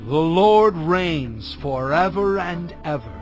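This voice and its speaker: An actor playing Moses, a fervent and reverent prophet, declaiming scripture in a measured way. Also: male voice, fairly high pitch, expressive, over music. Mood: reverent, awed. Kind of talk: dramatized scripture recitation.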